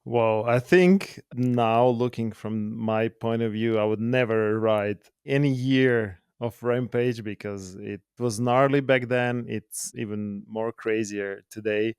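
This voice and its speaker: A man's voice: mid-range voice, slow, hardly any pauses, darkish tone, clear, resonant tone, dry recording. Croatian guy on a call, thoughtful storyteller, clean dry sound. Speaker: guest. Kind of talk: casual interview storytelling. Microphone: remote call.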